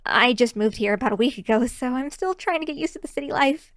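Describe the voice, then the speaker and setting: A woman's voice: high-pitched, animated, quick pace, no room sound, studio quality. A woman voicing a shy, cheery young kitsune barista in an ASMR roleplay, chatting to the listener in a bashful, hesitant, giggly way. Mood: shy, chatty.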